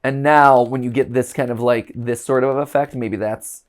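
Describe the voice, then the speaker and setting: A man hosting a casual reaction chat, animated and jokey, now and then breaking into silly voices. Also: mid-range voice, moderate pace, heavy background noise. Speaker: host.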